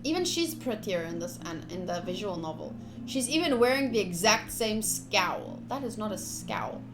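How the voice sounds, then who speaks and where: A woman streaming a game, reading story text aloud in an expressive, chatty way with casual asides. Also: mid-range voice, normal speed. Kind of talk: live visual novel playthrough, reading aloud with casual asides. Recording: soft music behind voice. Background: game music.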